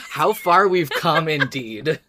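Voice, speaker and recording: The voice sits in a middle pitch and could belong to any sex. It is a podcast co-host, informal and unguarded, going over a favourite show for fellow fans. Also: pushed and bright, slight background noise. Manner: informal, sweary, often laughing. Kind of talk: scripted recap, then casual fan discussion.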